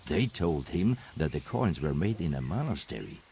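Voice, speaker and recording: A grim, stern knight with a very low voice, giving blunt reports. Faint outdoor noise sits behind him in this game cutscene. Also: male voice, very animated, moderate pace, warm tone, exaggerated voice. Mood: terse report. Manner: blunt, matter-of-fact reporting. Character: grim male knight investigating counterfeiters. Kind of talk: video game cutscene acting.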